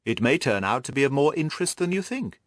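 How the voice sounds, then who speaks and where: A man as polite, mildly wounded gentleman companion. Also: medium pitch, sing-song, fast talker, no room sound, clean studio sound, pitched above the performer's own voice, natural voice. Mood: curious. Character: mild, loyal male companion, slightly wounded, defending his writing. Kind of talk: audiobook character dialogue.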